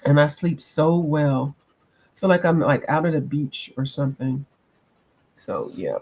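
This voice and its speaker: A fairly low-voiced woman chatting casually at home with viewers as she colors. She answers their comments out loud, laughs easily and keeps a loose, playful tone. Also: expressive, unhurried, husky. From eastern United States. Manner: laughs often, playful, drifts between accents. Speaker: coloring livestream host. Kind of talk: casual chat with live viewers.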